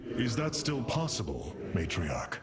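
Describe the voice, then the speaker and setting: Commanding alien warrior leader, dramatic. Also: male voice, sits low, wildly swooping pitch, a little slow, very noisy, exaggerated voice. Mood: measured. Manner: formal, commanding, declamatory. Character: noble, resolute alien warrior leader, male. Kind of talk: dramatic video game cinematic acting.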